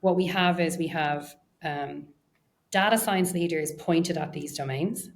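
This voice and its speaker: A woman with a low-pitched voice talks as an interview guest. She explains things in a conversational way, hedging and working out her thoughts aloud as she goes. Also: unhurried. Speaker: interview guest, research and data science executive.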